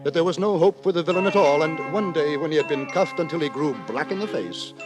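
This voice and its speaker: Male actor as pompous gentleman narrator, high-pitched, florid, indignant, old radio drama, prominent music. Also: quick pace, natural voice. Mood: aggrieved lamenting. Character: fussy, pompous gentleman narrator lamenting his wayward friend. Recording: old radio recording with loud music behind.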